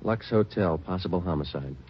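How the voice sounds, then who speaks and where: An actor playing a stoic American homicide detective in a 1940s radio drama, deadpan, terse and businesslike. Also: male voice, low voice, fairly quick, slight background noise, lightly acted. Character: stoic, matter-of-fact male homicide police detective. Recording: dull old radio recording, music behind.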